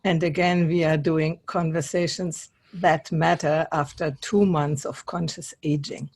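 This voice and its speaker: A woman's voice: deep voice, flat delivery, a little slow, a little mellow, husky. A woman hosting an interview on a video call, speaking English as a second language and sometimes searching for words. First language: not English. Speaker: host.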